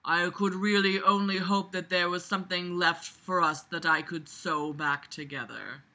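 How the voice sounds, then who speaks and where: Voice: medium pitch, normal speed. A person reading aloud and breaking off for casual, sarcastic running commentary, blunt, sweary and emphatic. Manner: animated, emphatic, jumps from muttering to loud outbursts. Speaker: reader reviewing a book aloud.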